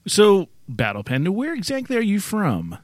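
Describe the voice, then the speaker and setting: A man's voice: sits high, wildly swooping pitch, normal speed, no room sound, clean studio sound. A man co-hosting a podcast in a casual, chatty interview style. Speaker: podcast co-host.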